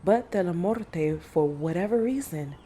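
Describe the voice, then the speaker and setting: A woman performs a middle-aged monster hunter in an audio drama. Her voice sits low as she recounts horrors in a grave, measured way. Faint noise runs under the recording. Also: lively intonation, dark tone. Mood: reflective.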